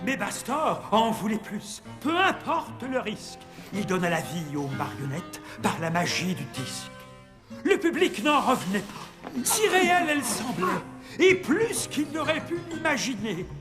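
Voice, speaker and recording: A man voicing a grand puppet showman in an animated film dub, with ceremonious, theatrical announcing. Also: very high voice, a little slow, over music, heavily acted. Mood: dramatic storytelling.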